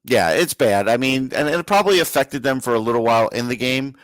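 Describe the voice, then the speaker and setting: A man's voice: medium pitch, brisk, dry recording. A man with a middle-range voice talks casually as a sports podcast co-host. He puts his opinions bluntly and plainly. The sound is dry, with hardly any room in it. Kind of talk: casual hockey discussion.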